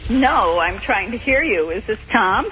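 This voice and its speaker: A woman phoning a radio show, casual, blunt and impatient, with a sarcastic edge and a raspy voice. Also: mid-range voice, animated, unhurried, faint music behind. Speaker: phone-in caller. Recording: dull telephone line on lo-fi radio.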